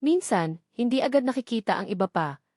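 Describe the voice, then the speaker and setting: A woman voicing a calm, cheerful, reassuring female doctor in an audio storybook. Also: a little high, a little fast, dry recording, studio quality. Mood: upbeat reassurance. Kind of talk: dramatic audio storybook dialogue.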